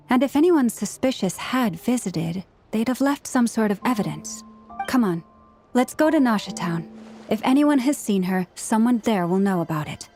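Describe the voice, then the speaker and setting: A composed, analytical woman from a video game acted by a woman, with a medium-pitched voice. She is serious and matter-of-fact, choosing formal words with care. Music plays clearly behind her. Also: dark tone, lightly acted.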